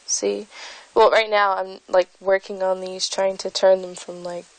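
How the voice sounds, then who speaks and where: A woman's voice: medium pitch, flat delivery, unhurried, rough recording. Rambling, giggly young woman. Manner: rambling, self-conscious, giggly. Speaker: vlogger. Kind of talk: casual rambling vlog. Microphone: phone held at arm's length.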